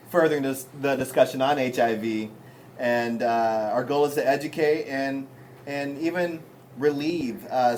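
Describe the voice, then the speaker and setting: A man hosting a community panel in an easy, mid-range voice. He hesitates often, filling gaps with ums and uhs. Faint noise sits behind him. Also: medium pitch. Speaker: host.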